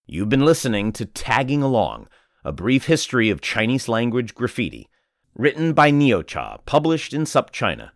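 A man reading a feature article aloud in a measured narration. His pitch moves a lot as he reads. The sound is dry and close, with no room around it. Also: big pitch swings, no echo. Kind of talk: scripted narration.

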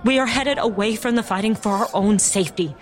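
A woman's voice: mid-range voice, fairly quick, a little hiss or hum, natural voice. An actress playing an urgent, earnest woman news reporter on the scene of a violent uprising in an audio drama. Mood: alarmed, shaken.

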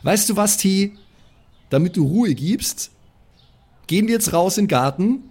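A man's voice: high-pitched, animated, measured pace, a little mellow, husky, pitched above the performer's own voice, heavily acted. A man voicing a bossy, blunt, irritable woman with a foul mouth in an improvised role-play audio game. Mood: irritated.

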